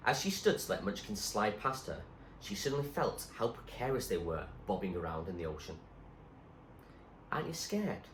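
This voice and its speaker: A man reading a story aloud in an expressive, suspenseful narrating style. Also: sits low, fairly quick. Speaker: reader, teacher. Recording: echoey room with faint background noise.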